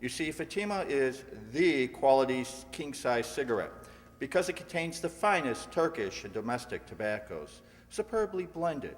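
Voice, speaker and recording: A man playing a 1950s radio cigarette pitchman, selling emphatically in the style of a vintage advertisement. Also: very animated, normal speed, close to an everyday voice. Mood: salesman-like. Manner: emphatic, salesman-like. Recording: reverberant hall.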